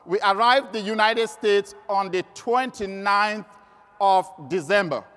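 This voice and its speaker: A man's voice: sits high, a little slow, fairly bright tone, clear, resonant tone. A man with a Cameroonian accent giving an emphatic motivational business training speech, repeating words for stress. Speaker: sales trainer and motivational speaker. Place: large indoor event hall. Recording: echoey hall, long reverb.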